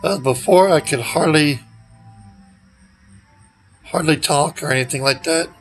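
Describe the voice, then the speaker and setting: An older man rambles casually into a phone held at arm's length outdoors. His pitch sits a little high for a man and he speaks very slowly, with halting, trailing phrases. His voice is recovering after nearly giving out. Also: soft background music. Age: older, around 60s. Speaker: vlogger. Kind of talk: rambling casual selfie vlog. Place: outdoors beside a brick building. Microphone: handheld phone at arm's length.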